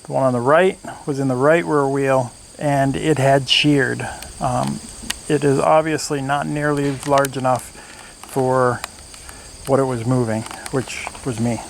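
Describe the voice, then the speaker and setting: A man's voice: medium pitch, steady tone, a little slow, creaky, a little hiss or hum. A man giving casual hands-on commentary as host of a workshop build video, plain-spoken and thinking aloud.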